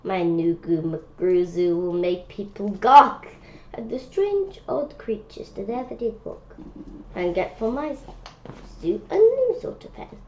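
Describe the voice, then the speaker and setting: A woman reading a children's picture book aloud in a halting way, breaking off for spontaneous asides and questions. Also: mid-range voice, animated. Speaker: read-aloud presenter. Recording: dull lo-fi sound with faint noise.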